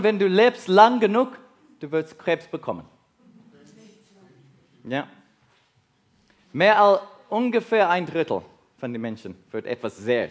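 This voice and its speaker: A man from South Africa whose first language is English, with a South African accent, preaching a sermon in halting, non-native German and putting questions to his listeners. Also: on the high side. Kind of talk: sermon to a congregation.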